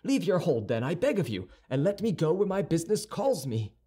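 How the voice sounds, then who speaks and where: A man's voice: a little high, big pitch swings, mellow, breathy edge, voice pushed up. A man voicing a hot-headed young male swordsman, talking in an urgent, indignant rush in a storybook reading. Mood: urgent protest. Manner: urgent, indignant, quick to take offense.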